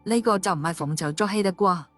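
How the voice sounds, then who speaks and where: A woman's voice: medium pitch, quick pace, a bit bright, soft background music, close to an everyday voice. A woman playing an angry, suspicious policewoman and wronged wife in an audiobook drama, scolding bluntly. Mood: upset, indignant.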